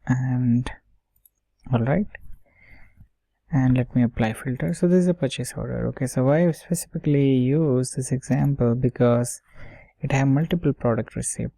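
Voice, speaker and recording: A man talking through an informal screen-share coding tutorial, thinking aloud step by step in a halting way and often checking himself. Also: mid-range voice, vocal fry, warm tone, no room sound. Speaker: software tutorial instructor.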